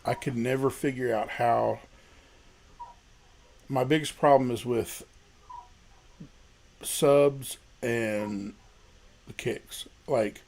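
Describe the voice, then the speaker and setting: A man with a deep voice, a guest musician talking casually and rambling about his recording struggles. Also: fairly even intonation, drawn-out words, gravelly, long pauses, a little mellow. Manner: self-deprecating, rambling, many restarts. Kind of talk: casual livestream chat.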